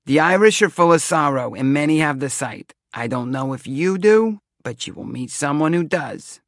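A man's voice: on the high side, expressive, no echo, clean studio sound, voice pushed up. A man voicing a theatrical middle-aged woman fortune-teller with a thick Eastern European accent, portentous and insistent, in an audiobook. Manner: portentous, dramatic pauses. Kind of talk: audiobook character acting.

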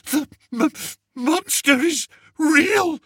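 A man voicing a cowardly, pompous king who wails and stammers in a high, shrill voice. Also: very slow, pushed and bright, dry recording, studio quality, exaggerated voice. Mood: shaken. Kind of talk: audiobook character voice.

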